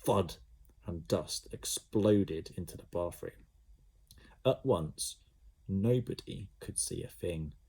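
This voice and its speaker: Teacher guy reading a kids story, low mellow voice, bouncy, husky. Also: takes it slowly, long pauses. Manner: animated, with sound effects. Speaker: primary school teacher. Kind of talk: storybook read-aloud for children.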